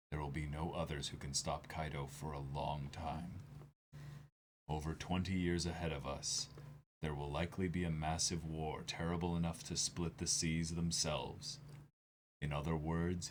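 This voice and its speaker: A man playing a doomed samurai lord in a dramatic comic reading, grave and proud, speaking in a hushed, halting, solemn way. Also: very deep voice, a little hiss or hum, pitched below the performer's own voice.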